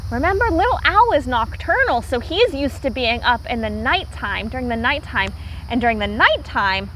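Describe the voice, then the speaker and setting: A woman teacher reading aloud to young children, pausing to explain words simply and repeating herself for clarity. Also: high-pitched, a bit bright, slight background noise, poor audio.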